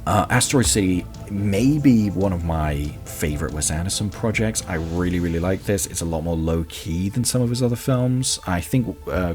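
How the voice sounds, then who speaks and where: Man, low pitch, casual show host, opinionated film commentary, halting but emphatic delivery, soft music behind.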